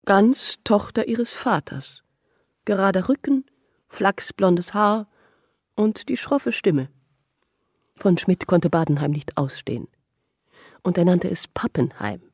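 An actress playing a divorced mother who recalls her past in a husky, intimate, brooding radio-play monologue. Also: lowish voice, animated, takes it slowly, long pauses, a little mellow, no echo, clean studio sound, heavily acted. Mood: bitter recollection.

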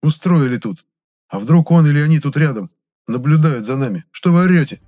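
Man acting young male story hero, insistent, bossy, impatient, raised pitch. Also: brisk, dark tone, close to an everyday voice. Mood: bossy, annoyed. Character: young Komsomol lad questioning people, insistent, impatient, bossy.

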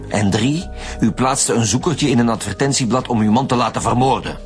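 A sceptical, middle-aged Flemish police chief, played by a male actor in a radio crime drama. He speaks bluntly and with authority at an average male pitch. Music is clearly audible behind him. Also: a little fast, natural voice. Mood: stern, sceptical. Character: stern, sceptical chief homicide detective leading interrogations.

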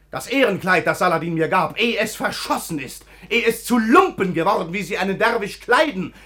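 An actor playing a proud, impulsive, blunt-spoken dervish in a classical radio drama, declaiming with theatrical emphasis. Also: male voice, high-pitched, pushed and bright, slightly rough, exaggerated voice. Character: proud, impulsive dervish turned sultan's treasurer, blunt free spirit.

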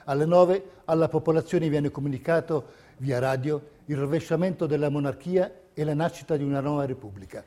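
A man narrating a documentary theatre piece, reading a script in measured, carefully separated phrases. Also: on the high side, warm tone.

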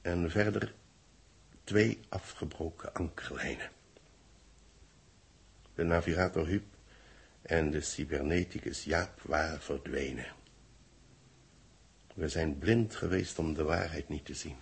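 A man in a radio drama playing a grave, weary middle-aged official who speaks in solemn, measured announcements. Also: extremely low pitch, gravelly, slightly rough, heavily acted. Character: grave, weary male official announcing tragedy to a doomed world. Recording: vintage 1960s radio drama recording.

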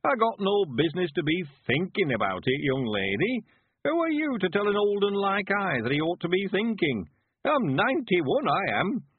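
High swooping voice, cheery ninety-year-old countryman, West Country accent, husky, folksy emphatic delivery, storybook character. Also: sing-song, no room sound, narrow, tinny audio, full character voice. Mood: spirited. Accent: rural English West Country. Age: 90s. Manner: folksy dialect, emphatic and drawn-out. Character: sprightly, cheerful 91-year-old rustic man. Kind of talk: audiobook character voice.

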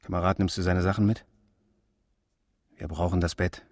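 An actor playing a callous, brisk male army hospital orderly in a radio drama, matter-of-fact and unfeeling. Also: sits low, flat delivery, darkish tone, no room sound, close to an everyday voice. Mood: callous, businesslike. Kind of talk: German radio drama acting.

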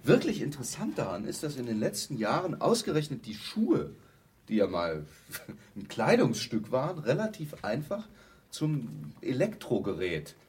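Glib, animated ad creative. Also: male voice, mid-range voice, normal speed, breathy edge, full character voice. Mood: matter-of-fact, knowing. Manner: explanatory, matter-of-fact, asks rhetorical questions. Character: glib, self-assured advertising creative man pitching product ideas. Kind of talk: German radio play, conversational monologue acting.

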